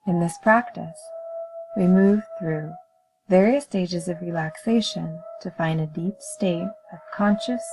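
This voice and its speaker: A woman leading a guided meditation in a calm, soothing, measured manner with gentle pauses. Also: on the low side, faint music behind, rough recording.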